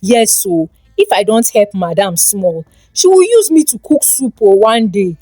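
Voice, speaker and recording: A woman's voice: medium pitch, expressive, measured pace, dark tone, no room sound. Woman acting a jovial Nigerian male neighbour, dry sound. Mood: joking. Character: jovial male neighbour, helpful banker husband.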